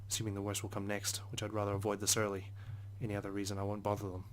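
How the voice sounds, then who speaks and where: A man's voice: lowish voice, monotone, racing, a little hiss or hum. A man reading visual novel narration aloud in an introspective, first-person manner. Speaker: let's play narrator.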